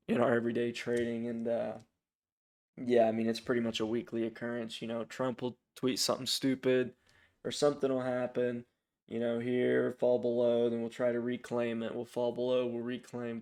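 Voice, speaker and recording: A man hosting a casual, unscripted stock watchlist, raspy from strep throat, informal and hesitant as he thinks aloud. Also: mid-range voice, a little mellow. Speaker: stock trading channel host.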